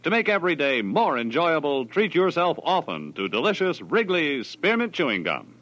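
A man's voice: a little high, very animated, moderate pace. An American man announcing in polished, upbeat 1940s radio style, reading sponsor copy and credits. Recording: dull vintage radio recording, music behind.

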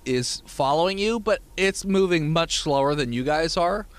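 A man's voice: a little high, animated, normal speed. A young man narrating as game master in a casual, profane, laughing way.

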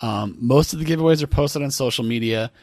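A man's voice: mid-range voice, fairly quick, a little mellow, dry recording. An American man chatting casually as a comedy podcast co-host, riffing with wry, exasperated, hedging commentary. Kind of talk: casual comedic chat, riffing on a news story.

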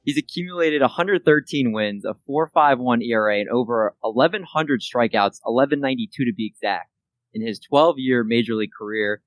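A man's voice: mid-range voice, no room sound, poor audio. Host, man with a mid-range voice, easygoing and upbeat, recorded dry and close. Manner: upbeat hype intro, then casual questions. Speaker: podcast host. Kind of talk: casual podcast interview.

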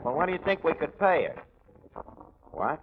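A man's voice: a little high, very animated, normal speed. A man playing a brash, slangy, uneducated tavern manager in an old radio comedy. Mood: wheedling. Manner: slangy, ungrammatical, streetwise. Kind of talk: 1940s radio comedy acting. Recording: muffled, very noisy old radio recording.

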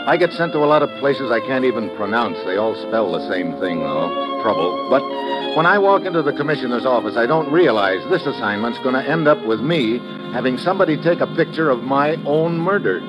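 An American actor playing a tough, wisecracking government agent in a wry, clipped 1950s radio drama style. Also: male voice, medium pitch, wildly swooping pitch, a little fast. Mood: wry, ominous. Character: tough, wisecracking male government agent, world-weary adventurer. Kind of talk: 1950s radio drama acting, hard-boiled narration. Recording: dull old radio recording, music behind.

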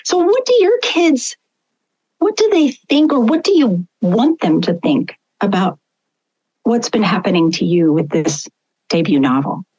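Middle-aged woman interviewer, mid pitch, muffled lo-fi line, reflective. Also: sing-song, measured pace, darkish tone, slightly rough. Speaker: interview host. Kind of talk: conversational interview. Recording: dull lo-fi audio.

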